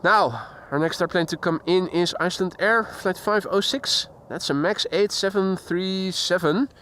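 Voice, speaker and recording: A man with a fairly high voice talks casually through a live stream outdoors, greeting viewers by name and chatting between topics. A faint outdoor hum sits behind him, picked up by a camera-mounted mic. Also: measured pace, fairly bright tone. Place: outdoors near an airport. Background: faint outdoor traffic and voices.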